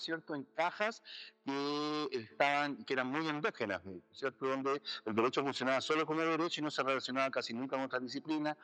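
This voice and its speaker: A man's voice: mid-range voice, bright, forceful tone, smooth, poor audio. A man from Chile with a Chilean accent, a jurist and philosopher answering interview questions thoughtfully, with many fillers. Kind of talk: casual interview talk. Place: outdoors in a city neighborhood.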